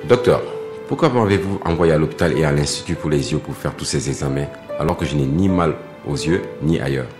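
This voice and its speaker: A man's voice: rumbling low, fairly quick, over music. A man playing an earnest, disciplined patient in an educational cartoon, explaining things didactically to viewers. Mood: questioning. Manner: didactic, clearly addressing the audience.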